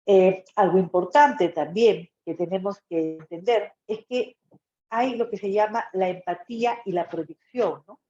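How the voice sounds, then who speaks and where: A woman's voice: medium pitch, a little slow, warm tone. A woman with a Peruvian Spanish accent giving an informal lecture in a didactic way, often checking in with short tag questions. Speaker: psychology lecturer. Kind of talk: informal webinar-style lecture. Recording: echoey room, dull muffled audio.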